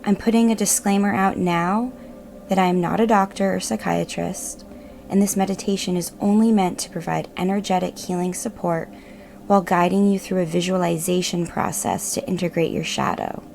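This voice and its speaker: A woman narrating a guided meditation in a gentle, measured, reassuring manner. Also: lowish voice, creaky, a little hiss or hum. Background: meditative music.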